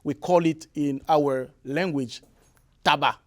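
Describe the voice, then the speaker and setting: Man from the Congo, halting. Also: a little high, steady tone. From Republic of the Congo. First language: Lari. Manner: hesitant, many fillers and restarts. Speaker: musician guest. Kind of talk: interview answers. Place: radio or TV studio.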